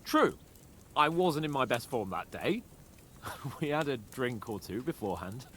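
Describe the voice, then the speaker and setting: A man's voice: mid-range voice, sing-song, unhurried, a bit bright, a little hiss or hum. An actor playing a cocky, teasing young nobleman in a game cutscene, joking and boastful with his friend. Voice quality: playful, mocking.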